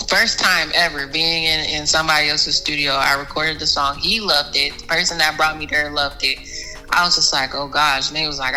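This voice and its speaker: A woman's voice: sits low, sharp tone, poor audio. A woman guest in a casual interview, talking animatedly about her music with a soothing voice, often restarting words. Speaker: podcast guest, singer.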